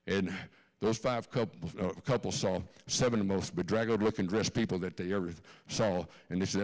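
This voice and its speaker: A man's voice: deep voice, poor audio. A man in his seventies with a Texan accent, giving an earnest personal testimony to a church congregation and repeating key lines for emphasis. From Texas, born in Austria. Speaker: guest speaker. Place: church sanctuary.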